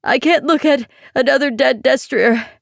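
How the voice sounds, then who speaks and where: A woman's voice: high-pitched, moderate pace, vocal fry, no echo, clean studio sound, pitched above the performer's own voice. A woman voicing a weary, worn-out uncle, tired and grim in manner.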